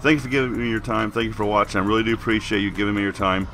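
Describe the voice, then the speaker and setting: Low voiced guy doing a game walkthrough, getting over a cold, bright forceful sound, faint music behind. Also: fairly even intonation, racing. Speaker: gaming video host. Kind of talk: casual game walkthrough commentary.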